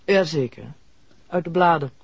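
A reserved, mysterious lady doctor in an old radio drama. Her voice is husky, her delivery formal and deliberate. The recording is close and dry, with a dulled vintage tone. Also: lowish voice, no echo, dull audio. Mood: guarded, reflective. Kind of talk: 1960s Dutch radio play acting.

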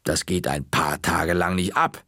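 A man voicing a smug, quietly threatening woman librarian, speaking slowly, in a storybook reading. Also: low-pitched, fairly even intonation, dry recording, clean studio sound, voice pushed down, full character voice. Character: sly menacing woman librarian, middle-aged.

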